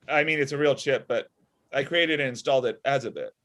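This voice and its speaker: A man's voice: mid-range voice, moderate pace, fairly bright tone, natural voice. A man in his thirties playing a sardonic, frustrated robot inventor in a comedy table read over a video call from home. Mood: wry. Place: small room at home.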